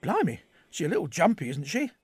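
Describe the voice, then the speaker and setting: A man's voice: a little high, huge pitch swings, fairly quick, husky, no room sound, faint music behind, exaggerated voice. Man doing matey British Jeff. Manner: casual, matey.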